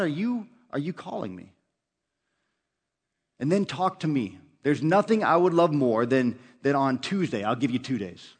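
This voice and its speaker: A male pastor preaching an earnest sermon, urging listeners with repeated phrases. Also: a little high.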